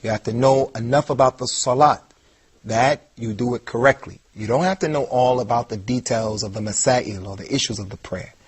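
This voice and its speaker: A man delivers a televised lecture in a husky, slightly breathy voice set at an everyday middle pitch. He speaks emphatically and circles back to repeat the points he wants to stress. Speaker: Islamic lecturer.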